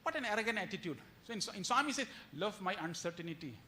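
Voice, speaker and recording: A man speaks in a notably high, bright and forceful voice. He delivers a spiritual lecture like a storyteller, slipping into quoted lines and rhetorical questions. Key phrases come back again for emphasis. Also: sing-song, fast talker. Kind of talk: devotional lecture to an audience.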